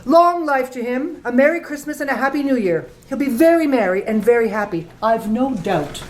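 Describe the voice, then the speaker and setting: An indignant Victorian wife, played by a woman, has a highish voice that swings with scornful emphasis. It is theatrical radio play acting with faint noise behind. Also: lively intonation, normal speed. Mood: grudging, sarcastic.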